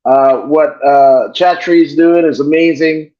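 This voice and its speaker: A man's voice: a little high, steady tone, takes it slowly. A man hosting a podcast in casual chat, profane and rambling, joking as he goes. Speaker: podcast host. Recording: dull lo-fi sound.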